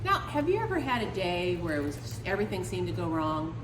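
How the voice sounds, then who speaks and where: A woman reading a picture book aloud to children as a storytime librarian, expressively, with drawn-out emphasis on key words. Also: on the low side, big pitch swings. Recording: echoey reverberant room with faint noise.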